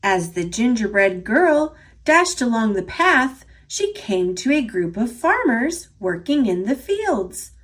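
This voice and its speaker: An American woman reading a children's story aloud in an animated, scripted storytelling style. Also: fairly high pitch, slow pace.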